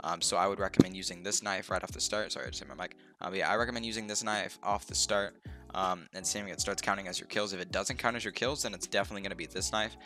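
A young man with a deep, low voice that sounds stuffed up and a little nasal. His tone is bright and casual, full of ums and uhs as he talks into a desk mic. Faint music plays behind him. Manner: relaxed, rambling, lots of ums and uhs. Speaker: gaming youtuber. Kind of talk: casual game walkthrough. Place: home room, indoors.

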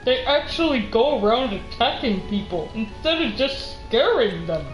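A mischievous skeleton showman from a spooky game , played by a woman, theatrical and playful. The voice rings in a roomy space over clear game music. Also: on the high side, lively intonation, normal speed, close to an everyday voice. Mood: eager.

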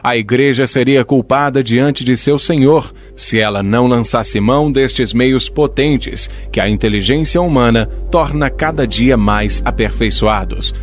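A man's voice: medium pitch, measured pace, few pauses. A man with a Brazilian accent reading a scripted documentary narration in a formal manner. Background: music.

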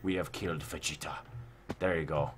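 A man hosting a casual gaming let's play, thinking aloud in an excitable, repetitive way. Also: very deep voice, fairly quick, a little hiss or hum. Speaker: gaming video host. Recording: music behind the voice.

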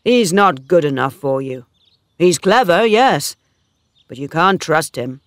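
A woman voicing an earnest, worried young male farm worker in love, calm, sad and sincere, as storybook dialogue. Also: low voice, unhurried, dry recording, clean studio sound. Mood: worried, cautioning.

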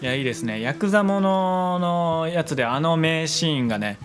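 A young man with a Kansai accent co-hosting a radio show with casual, improvised banter and animated storytelling. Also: fairly high pitch, moderate pace, music in the background. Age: young adult. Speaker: internet radio co-host. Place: small indoor studio room. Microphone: stand microphone with headphones.

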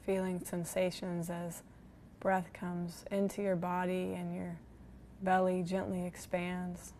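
Low, very even-toned woman guiding meditation. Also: slight background noise. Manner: slow, soothing, gentle delivery with long pauses. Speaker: meditation guide. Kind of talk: guided body scan meditation.

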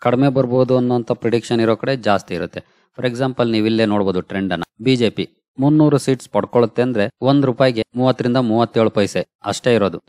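Fast, low-voiced man explaining on screen. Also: a little mellow, no room sound. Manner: explains plainly, walking viewers through an article on screen. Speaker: stock market channel host. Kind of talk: screen-share explainer talk.

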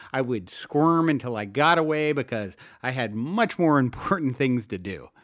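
A man reading his own self-help audiobook as scripted narration, earnest and measured, with deliberate emphasis. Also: medium pitch, no echo, clean studio sound. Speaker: podcast host and author reading own audiobook.

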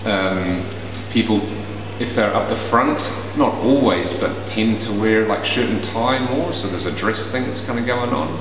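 Relaxed New Zealand man, echoey room. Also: on the low side, a little slow. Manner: relaxed, conversational, many fillers. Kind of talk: casual interview answers. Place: small room indoors. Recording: roomy echo, far from mic, hiss.

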